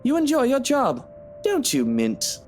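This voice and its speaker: A man's voice: very high-pitched, wildly swooping pitch, unhurried, a little mellow, exaggerated voice. A man playing a cheeky, moody married man in a visual novel game, teasing and informal with British-style slang, over music. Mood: musing, earnest. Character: cheeky, moody married man, musing about his wife. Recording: music behind the voice.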